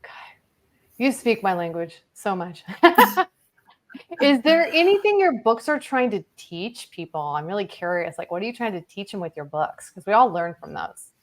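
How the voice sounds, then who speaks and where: A woman's voice: medium pitch, very animated, normal speed. A woman hosting an interview, enthusiastic and affirming, with many "mm-hmm"s. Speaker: interview host. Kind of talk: casual interview.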